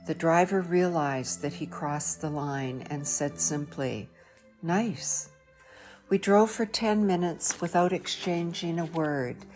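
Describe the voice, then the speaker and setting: A woman reading a first-person audiobook in a measured, reflective way. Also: low voice, darkish tone, soft background music. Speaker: audiobook narrator.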